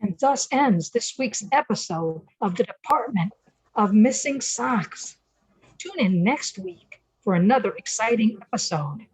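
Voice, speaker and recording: A woman's voice: fairly high pitch, lively intonation, a little slow, darkish tone, husky, no echo, poor audio. An older woman announcing a radio play in scripted, old-time serial narration style.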